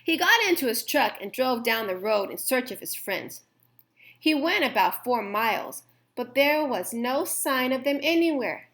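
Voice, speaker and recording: A woman reading a children's picture book aloud in an expressive, gentle storytime manner. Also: on the high side, a little slow.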